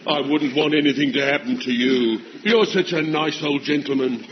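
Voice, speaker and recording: A man's voice: on the high side, moderate pace, lo-fi sound, heavy background noise, close to an everyday voice. An actor playing a brash, mocking army drill sergeant who barks orders and laughs at his own jokes. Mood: jeering, bossy. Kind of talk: sitcom acting.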